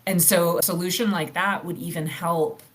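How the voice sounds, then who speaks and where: A woman hosting a podcast interview, speaking in an encouraging and measured way as she asks questions. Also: lowish voice, fairly even intonation. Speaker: podcast host. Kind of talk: interview questions and casual chat.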